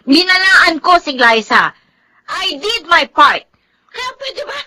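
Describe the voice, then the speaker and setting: A woman's voice: very high voice, sing-song, takes it slowly, sharp tone, heavily acted. A Filipino actress playing a defensive, exasperated girlfriend in a radio drama, speaking emphatically.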